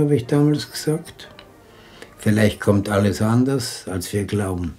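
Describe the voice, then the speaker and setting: An actor playing a skeptical, stubborn Viennese caretaker in a German radio play, deliberate and ruminating, with a Viennese accent. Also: male voice, mid-range voice. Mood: wry, doubting.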